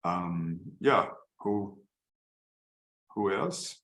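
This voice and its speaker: A man with an Austrian accent introducing himself as chair on a video call, speaking in a halting way with many fillers. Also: deep voice, lively intonation, fairly bright tone, roomy sound. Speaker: chair of the association.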